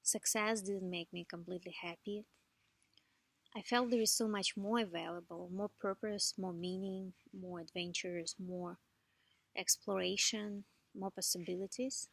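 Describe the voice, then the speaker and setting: A woman in her thirties from Ukraine, English speaker, telling her life story in a soft, reflective, unhurried way with gentle laughs. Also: mid-range voice, steady tone, vocal fry, no room sound. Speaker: vlogger. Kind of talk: personal life story monologue.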